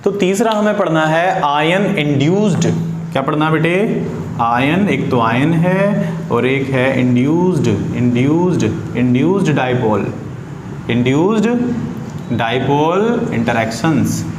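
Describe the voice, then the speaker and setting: A man's voice: fairly high pitch, expressive, takes it slowly. A man teaching an informal lesson to students, repeating phrases and asking them rhetorical questions. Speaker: chemistry teacher. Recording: echoey room with faint background noise.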